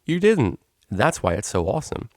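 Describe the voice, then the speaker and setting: A man's voice: medium pitch, big pitch swings, moderate pace, no room sound, clean studio sound, voice pushed up, natural voice. A man voicing a cheeky, mischievous female unicorn DJ pony, casual and teasing, in a story reading. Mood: gleefully teasing.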